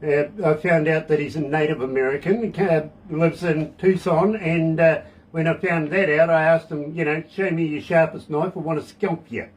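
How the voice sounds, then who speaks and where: Joking New Zealand radio host. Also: male voice, fairly high pitch, rough recording. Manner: cracks deadpan jokes, drawn-out setups. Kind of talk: casual joking interview.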